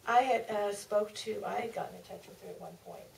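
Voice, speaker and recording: Woman, informal panelist, gravelly low voice, self-correcting, off-mic in a theater, faint noise. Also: steady tone, brisk, a little mellow, far from the mic, poor audio. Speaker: author on a Q&A panel. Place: theater stage.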